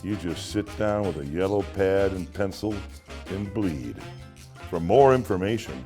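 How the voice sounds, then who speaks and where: Low-voiced man reading a story aloud. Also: expressive, a little slow, dark tone, soft background music. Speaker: author reading own short stories. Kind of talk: dramatic literary audiobook narration.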